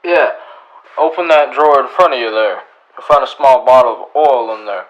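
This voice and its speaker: A man's voice: medium pitch, highs cut off, lightly acted. Man acting a steely, laconic Old West lawman. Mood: calm, matter-of-fact. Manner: laconic, dry, unhurried. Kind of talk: western radio drama acting.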